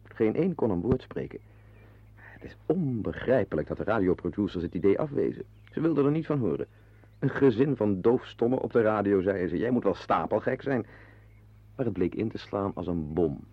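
A man's voice: lowish voice, animated, brisk, breathy edge, dry recording. A man playing a weary, wry playwright who narrates a radio play, confiding in listeners in a dry, digressive way. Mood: wry, reflective. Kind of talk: intimate first-person radio play narration. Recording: dull lo-fi radio play recording.

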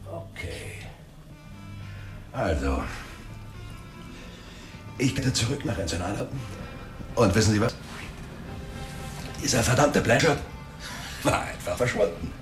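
Brief: greedy, slick private eye, low voice that swings widely, chummy slang, noir radio play. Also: male voice, animated, slow pace, pauses often, echoey room, music in the background. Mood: slangy, conspiratorial. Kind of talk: radio drama dialogue, storytelling monologue.

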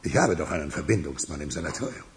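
A man in a German radio crime drama playing an agitated, sardonic, commanding crime boss with a gravelly voice. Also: extremely low pitch, very animated, fast. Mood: brooding, brisk.

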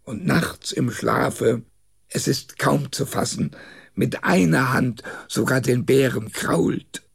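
A man reading a rhymed comic poem for children aloud, expressively and in a deliberate storytelling manner. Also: mid-range voice, gravelly, slightly rough. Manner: measured, deliberate storytelling delivery.

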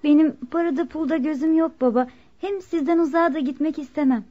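An actress plays a dutiful, shy young daughter in a radio drama. Her voice is very high and youthful. The recording is dull and lo-fi. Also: fast, warm tone, lightly acted. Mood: bashful. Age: young adult. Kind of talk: Turkish radio theater acting.

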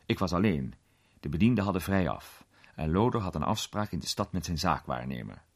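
A man playing a worldly film actor in a radio drama, recounting an unsettling memory in a conversational, sometimes hesitant, theatrical way with a husky voice. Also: low-pitched, animated, a little fast, full character voice. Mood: suspenseful. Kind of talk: radio drama storytelling in character.

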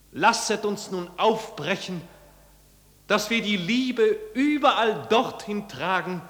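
A man's voice: mid-range voice, fairly bright tone. A man acting a solemn, fervent preacher in a 1950s radio drama, declaiming a sermon. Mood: fervent, exhorting. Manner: solemn, declamatory, rising to fervour. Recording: long hall reverb, 1950s radio production.

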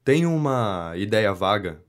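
An actor playing a reserved, polite gentleman deputy in a Brazilian audio drama comedy, terse and evasive. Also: on the low side, sing-song, measured pace. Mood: politely evasive. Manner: terse, noncommittal. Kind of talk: audio drama of a 19th-century stage comedy.

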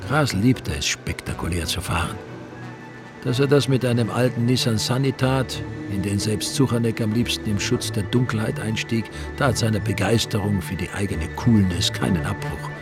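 Deep-ish guy narrating a radio play, loud music underneath. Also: normal speed. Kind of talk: scripted radio drama narration.

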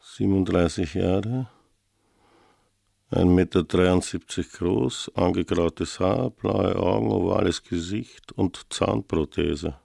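An actor playing a pedantic village police sergeant in a radio play, gravelly, deliberate and dryly mocking. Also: male voice, low-pitched, dark tone, full character voice.

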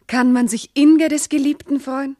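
A woman's voice: sits high, steady tone, moderate pace, warm tone, no room sound, natural voice. Woman acting a noble wife in a classic radio play, hurt and indignant, formal verse delivery, dry sound. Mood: passionate, reproachful. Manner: formal, declamatory, impassioned. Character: proud, loving wife, noble lady, wounded and indignant.

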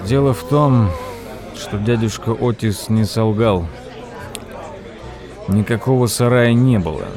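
An actor playing a thoughtful, polite nephew who narrates an audio drama in a measured, wry way. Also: male voice, fairly low pitch, a crowd in the background, natural voice. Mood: wry, reflective. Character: thoughtful, polite nephew recounting an odd tale. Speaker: narrator and lead character.

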